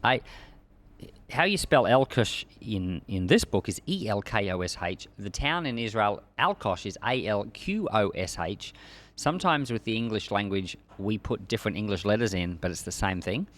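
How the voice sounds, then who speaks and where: A man's voice: fairly low pitch, lively intonation, moderate pace, a bit bright. A man preaching and teaching from the Bible in an emphatic, conversational way. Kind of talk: Bible reading and informal expository sermon.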